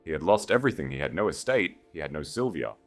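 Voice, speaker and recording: A man's voice: extremely low pitch, sing-song, quick pace, soft background music. A man reading a dramatic scripted romance as an audiobook narrator.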